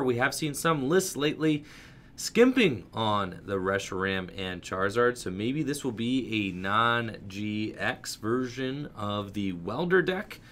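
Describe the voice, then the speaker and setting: A man with a raspy voice giving upbeat live commentary on a card game tournament and hosting a stream. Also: lowish voice, a bit bright, slight background noise. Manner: upbeat, hyped streamer energy. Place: small game store studio.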